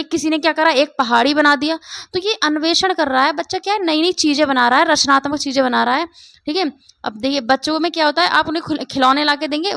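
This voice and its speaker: A woman teacher with a hoarse voice, giving an exam-prep lecture and often checking that students follow. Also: sits high, a bit bright, no echo. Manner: repeats points, keeps asking "ठीक है?".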